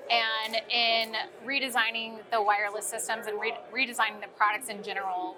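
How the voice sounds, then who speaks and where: Female product manager as interview guest, slightly high voice, explanatory and conversational, busy crowd in background. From St. Louis, United States. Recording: crowd chatter at busy event. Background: crowd at a sales meeting.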